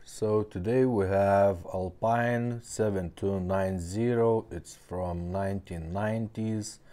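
A man's voice: fairly low pitch, steady tone, takes it slowly, dark tone. A man casually demonstrating a product, explaining step by step in simple, non-native English phrasing. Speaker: presenter of a car radio repair shop demo. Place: indoors at a tabletop.

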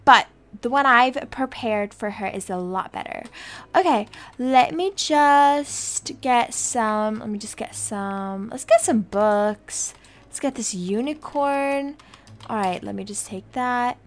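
Woman playing a breezy scheming mother, high voice. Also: slow pace. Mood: smug, hurried. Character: brazen, self-satisfied kidnapping birth mother, scheming and fussy. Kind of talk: improvised video game roleplay.

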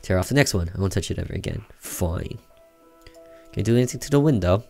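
A man's voice: lowish voice, expressive, fast talker, creaky, darkish tone, faint music behind. A man doing casual gameplay commentary and reading on-screen story text aloud. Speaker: gamer doing a let's play, reading game text aloud.

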